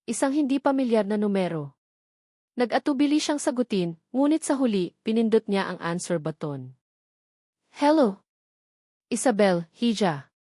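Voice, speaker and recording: A woman's voice: medium pitch, warm tone, no room sound. Filipino woman narrating drama, dry recording. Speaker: story narrator. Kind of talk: dramatic scripted fiction narration.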